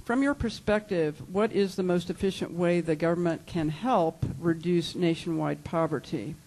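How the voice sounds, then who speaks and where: A moderator reading out student and audience questions to a panel in a brisk, matter-of-fact way. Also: medium pitch, darkish tone, low-quality recording.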